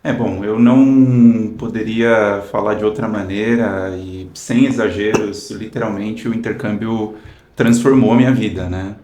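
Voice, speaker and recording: A low-pitched Brazilian man in his thirties speaks in an earnest, storytelling manner, peppered with "né". His voice carries a noticeable room echo. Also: steady tone, unhurried, mellow, slight background noise. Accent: Brazilian Portuguese. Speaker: guest. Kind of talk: casual interview chat.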